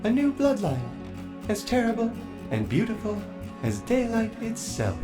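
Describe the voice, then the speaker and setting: A woman's voice: fairly high pitch, huge pitch swings, measured pace, music in the background, voice pushed up. A performer voicing a cunning, imperious vampire queen in a haughty, theatrical story reading. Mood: gloating, sinister.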